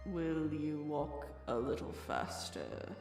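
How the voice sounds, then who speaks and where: An actor playing a mournful, solemn turtle creature in an audio drama, speaking in a slow, drawn-out way. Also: medium pitch, huge pitch swings, gravelly, exaggerated voice. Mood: slow, solemn, sad. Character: sorrowful old Mock Turtle, slow, sighing and solemn. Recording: roomy, distant mic, music underneath.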